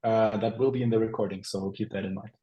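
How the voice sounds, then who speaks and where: A man's voice: lowish voice, fairly even intonation, fast talker, mellow, muffled recording. A man from Germany, chatting informally as a co-presenter of an online webinar. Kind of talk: casual chat.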